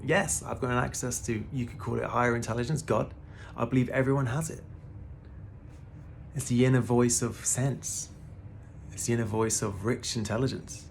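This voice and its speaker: A man's voice: medium pitch, steady tone, moderate pace, vocal fry, a little hiss or hum. A man in his thirties giving a casual, laid-back spiritual talk to the camera, often calling the listener "man" and swearing now and then. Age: 30s. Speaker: spiritual vlogger.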